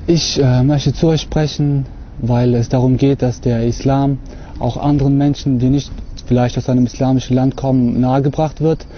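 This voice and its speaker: A young man from Russia talking to camera outdoors on a lawn, earnest and hesitant, filling pauses often. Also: medium pitch, level tone, muffled recording, slight background noise. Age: young adult. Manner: hesitant, many fillers, earnest. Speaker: convert giving a testimony. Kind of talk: unscripted talk to camera.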